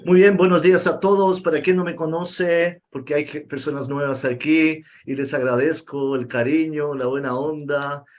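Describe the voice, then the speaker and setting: A man's voice: on the high side, little pitch movement, normal speed, few pauses. A man with as his hosts a video-call meeting with a light, raised voice. He talks loosely and informally, restarting phrases and drawing out his fillers. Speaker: host and Spanish teacher.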